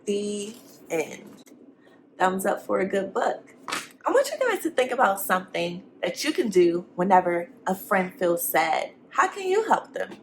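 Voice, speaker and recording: A woman's voice: medium pitch, big pitch swings, measured pace, slight background noise. A woman teacher reading a storybook aloud to young students, expressively, with simple encouraging questions and asides for the children.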